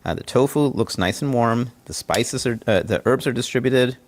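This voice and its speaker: Lively man chatting through a cooking demo. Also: mid-range voice, moderate pace. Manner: chatty, encouraging, tastes and comments. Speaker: home cook presenter. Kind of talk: casual cooking demonstration. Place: home kitchen. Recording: faint background noise.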